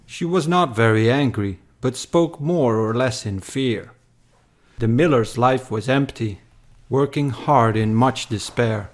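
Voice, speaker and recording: Male narrator for dramatic verse: unhurried, solemn, medium-pitched storytelling. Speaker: narrator, poem reader. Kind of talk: scripted dramatic poetry narration.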